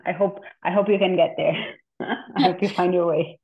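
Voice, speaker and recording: A woman from Portugal, talking casually and haltingly as an interview guest, often repeating words. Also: on the low side, steady tone, breathy edge. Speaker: interview guest, community land steward. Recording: narrow phone-like call audio.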